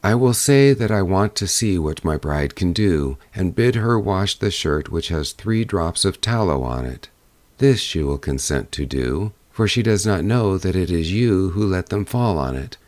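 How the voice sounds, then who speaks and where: Storybook prince, young yet low-pitched, earnest and commanding, close dry sound; male reader plays him. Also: lively intonation, a little slow, a little mellow, no echo. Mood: earnest, hopeful.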